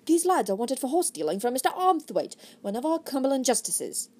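A woman voicing a smooth, scheming gentleman with a polished, courtly manner. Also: sits high, very animated, brisk, voice pushed up. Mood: suave. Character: smooth scheming gentleman, feigning loyal outrage.

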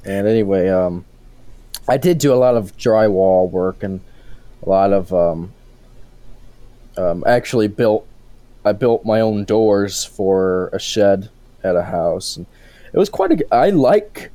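A man's voice: fairly low pitch, expressive, measured pace, pauses often, mellow, dry recording. An eighteen-year-old man co-hosting a casual podcast, rambling and restarting his sentences as he talks. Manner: rambling, self-interrupting, with frequent restarts. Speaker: podcast co-host.

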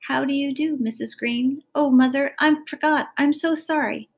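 Woman acting young storybook girl, high voice, earnest, gushing, eager, apologetic. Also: animated, a little fast.

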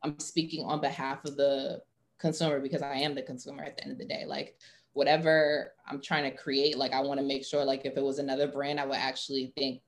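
Young woman, interview guest, quite low register, loose conversational delivery full of "like". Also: level tone, fairly quick, a little hiss or hum. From Atlanta, US. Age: young adult. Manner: informal, heavy use of "like". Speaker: interview guest, sports marketing professional.

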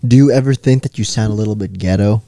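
A young man co-hosting a podcast in casual chat, teasing the others with deadpan, provocative questions. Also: on the low side, quick pace. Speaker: podcast co-host.

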